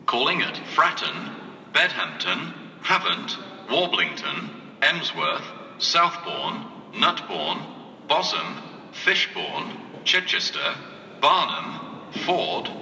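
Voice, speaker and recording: A British man makes formal train announcements, slowly and in separate phrases. His pitch rises and falls widely, and the loudspeaker sound rings with long reverb over clear station noise. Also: huge pitch swings. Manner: formal, segmented list reading with varied intonation. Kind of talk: scripted public address train announcement. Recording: reverberant distant station tannoy, noisy.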